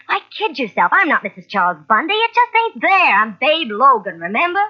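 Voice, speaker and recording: A woman's voice: high-pitched, big pitch swings, fairly quick. An actress playing a hard-boiled, slangy carnival sideshow woman nearing forty in an old-time radio mystery. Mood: impatient, bitter. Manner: brassy, slangy, impatient. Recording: dull old radio recording from cassette.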